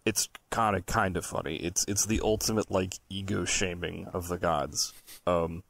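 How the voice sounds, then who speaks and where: A man's voice: deep voice, fairly even intonation, normal speed, creaky, a bit bright. A man co-hosting a podcast, chatting and telling stories casually in a blunt, sweary, emphatic way. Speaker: podcast co-host. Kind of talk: casual banter and storytelling.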